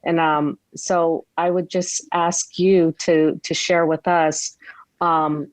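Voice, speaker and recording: Female workshop speaker, low register, unhurried and halting, conversational, dry video-call sound. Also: little pitch movement, no echo. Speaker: guest speaker leading a workshop. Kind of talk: conversational presentation on a video meeting. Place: online video meeting.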